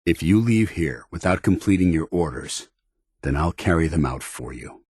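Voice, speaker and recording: A disciplined military paladin from a video game, with a very low, heavy voice. He is stern and principled, speaking in formal, clipped commands. The sound is close and dry, with a slightly rough edge to the tone. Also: animated, normal speed, a little mellow, no room sound, heavily acted. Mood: earnest, formal. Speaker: video game companion character.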